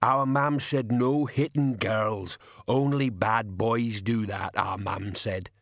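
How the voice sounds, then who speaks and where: A man voicing a huge, simple-minded thug in an audiobook, with a deep rumbling voice and slow, stubborn, childlike delivery. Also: fairly even intonation, fairly bright tone, dry recording, studio quality. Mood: sullen.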